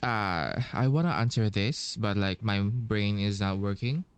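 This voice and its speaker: A man giving casual game commentary, thinking aloud haltingly with long pauses. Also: on the low side, lively intonation, clear, resonant tone. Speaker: gaming streamer playing through a story quest. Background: game music.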